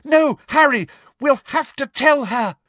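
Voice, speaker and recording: A man voicing an earnest, anguished teenage schoolgirl in a story, urgent, shrill and tearful. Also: unhurried, pushed and bright, no room sound, studio quality, exaggerated voice. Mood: desperate, tearful.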